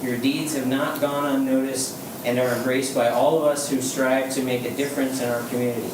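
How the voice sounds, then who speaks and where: A man, a veterans memorial volunteer, reading a thank-you letter aloud at a city council meeting in a measured, unhurried way. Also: mid-range voice, little pitch movement. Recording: echoey chamber, distant mic, background noise.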